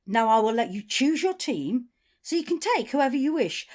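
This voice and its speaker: A high-pitched, highly animated woman leader played by an actress in an audio drama. She speaks with authority, confiding one moment and ruthless the next, her pitch swinging widely. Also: normal speed. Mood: businesslike, commanding. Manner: authoritative, swearing, shifts from supportive to domineering. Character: commanding female community leader, confiding yet ruthless.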